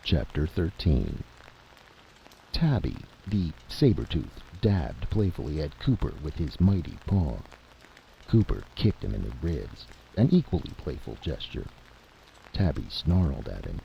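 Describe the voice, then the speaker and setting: A man with a gravelly voice reading a dramatic scripted story as an audiobook narrator. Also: very deep voice, very animated, measured pace, highs cut off, slight background noise.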